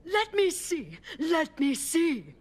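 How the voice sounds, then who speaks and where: A woman's voice: very high-pitched, wildly swooping pitch, slow, slight background noise, full character voice. A woman voicing a gushing, doting, wealthy middle-aged mother in a children's cartoon, affected and theatrical. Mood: eager. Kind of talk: animated children's cartoon acting.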